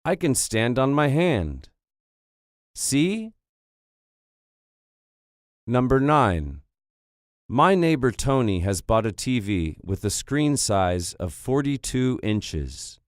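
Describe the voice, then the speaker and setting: A man reading English listening exercises slowly and clearly for learners, in a scripted narration. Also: medium pitch, very animated, dry recording, studio quality. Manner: clear, carefully paced dictation. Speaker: audio recording narrator.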